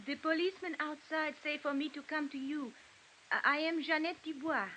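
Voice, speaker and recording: High-voiced, timid French witness. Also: female voice, steady tone, moderate pace, pushed and bright, slight background noise. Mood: timid, apologetic. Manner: hesitant, apologetic, broken English. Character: timid, frightened young Frenchwoman, a reluctant witness. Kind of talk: 1950s radio detective drama acting. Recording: dull vintage radio recording.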